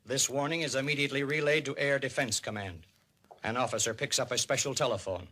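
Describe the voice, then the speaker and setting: An American man narrating a 1950s radio civil defense documentary in a grave, emphatic, deliberate manner. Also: mid-range voice, steady tone, bright, forceful tone. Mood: grave, urgent. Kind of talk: 1950s radio documentary narration, dramatic public-service announcing. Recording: vintage 1950s radio recording.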